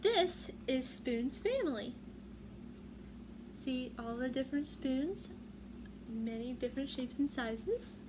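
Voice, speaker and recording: A woman's voice: high voice, lively intonation, takes it slowly, pauses often. A woman reading a picture book aloud for young children in a teacherly way, putting questions to her listeners. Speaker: read-aloud storyteller. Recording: clearly noisy room background.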